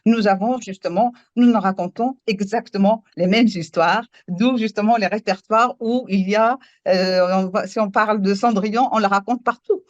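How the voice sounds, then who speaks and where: A woman's voice: mid-range voice, moderate pace, few pauses, dry recording. A woman storyteller whose first language is Moroccan Arabic, speaking earnestly and searching for her words in a panel discussion over a remote connection. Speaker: storyteller and workshop leader. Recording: dull dry remote audio.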